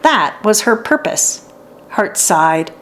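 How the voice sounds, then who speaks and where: A woman narrating a children's story in an expressive read-aloud style for young listeners. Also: medium pitch, slow. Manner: acts out dialogue and sounds out letters.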